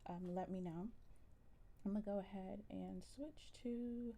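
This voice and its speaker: A woman with a generally soft voice chatting casually with viewers on a live stream, relaxed and full of ums. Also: mid-range voice, a little slow, gravelly, a little hiss or hum. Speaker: livestreamer.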